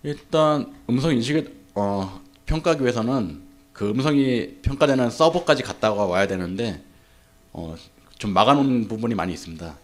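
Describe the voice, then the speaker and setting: A man summarizing a lecture as a seminar interpreter, in quick, run-on explanations with frequent filler sounds. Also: mid-range voice, expressive. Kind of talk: rapid Korean summary interpretation.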